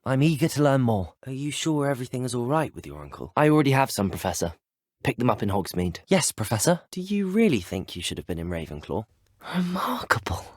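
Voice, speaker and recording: A young man with a British accent playing a polite, curious, self-assured teenage wizard student in a video game, courteous and gently wry. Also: mid-range voice, very animated, a little fast, no room sound, clean studio sound. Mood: hushed. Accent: British English. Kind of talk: video game character voice acting.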